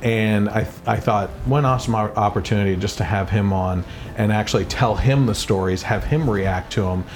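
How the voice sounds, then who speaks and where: A man hosting a faith podcast, telling his personal story in an earnest, casual way with quick bursts and restarts. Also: fairly low pitch, soft background music. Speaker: podcast host.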